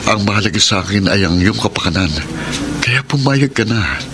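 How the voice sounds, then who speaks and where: An actor with a Filipino accent playing an earnest, devoted young suitor who pleads in dramatic radio drama style. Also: male voice, lowish voice, expressive, moderate pace, background noise, natural voice. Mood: earnest pleading.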